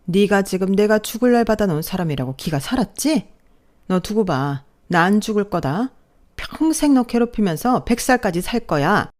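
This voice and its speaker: A woman's voice: medium pitch, lively intonation, normal speed, a little mellow, voice pushed up, close to an everyday voice. A woman voicing a spiteful, sick older mother-in-law who scolds sharply and venomously. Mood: furious.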